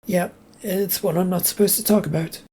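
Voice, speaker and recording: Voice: mid-range voice, steady tone, moderate pace, dark tone, slightly rough, voice pushed up. A reader voicing a guarded office woman who answers in dry, clipped replies. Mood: curt.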